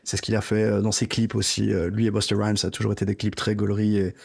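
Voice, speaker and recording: A man's voice: lowish voice, little pitch movement, quick pace, gravelly, a little mellow, husky. A man in his thirties from Belgium, a Greek speaker, chatting casually as a rapper in an interview. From Belgium, Greek parents.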